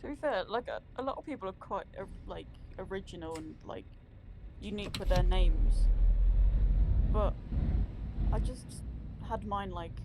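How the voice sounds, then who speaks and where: A woman's voice: medium pitch, normal speed, pauses often. A woman from England chatting casually on a game stream, hesitant and full of filler words. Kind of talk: casual chat. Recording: loud engine noise behind. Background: truck engine noise from the game.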